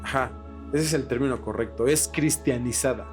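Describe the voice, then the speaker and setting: A Mexican man hosts a podcast in a casual, explanatory way. His mid-pitched voice is slangy and sweary, with guitar music clearly playing behind him. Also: normal speed. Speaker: podcast host.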